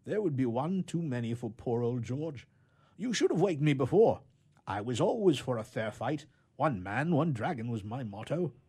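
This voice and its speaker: A man's voice: medium pitch, sing-song, normal speed, dark tone, breathy edge, voice pushed up. A man voicing a sleepy, apologetic old champion knight in a rambling storybook manner. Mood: weary, regretful. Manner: drowsy, rambling.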